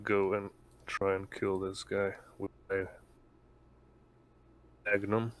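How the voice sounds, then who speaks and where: A man taking part in a tabletop game, talking casually in halting, hesitant phrases. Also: low-pitched, completely flat delivery, low-quality recording. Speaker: tabletop RPG player. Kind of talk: casual rules discussion.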